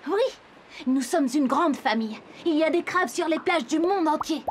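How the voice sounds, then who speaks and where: High-voiced, eager little cartoon animal. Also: female voice, lively intonation, moderate pace, noisy background. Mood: cheerful. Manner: eager, explains simply and proudly. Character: friendly, proud, helpful young cartoon animal friend. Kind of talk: children's educational cartoon dialogue.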